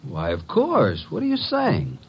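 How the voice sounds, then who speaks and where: A wisecracking detective's assistant in old-time radio style. His voice is rough-edged and very lively in pitch, with an informal, breezy delivery. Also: a little slow, dull audio, exaggerated voice. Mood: puzzled. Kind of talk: 1950s radio detective drama acting.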